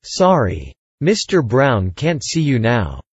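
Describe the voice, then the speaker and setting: Slow, husky man reading lesson replies. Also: mid-range voice, wildly swooping pitch, dry recording, highs cut off. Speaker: English dialogue reader. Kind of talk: scripted language-lesson reading.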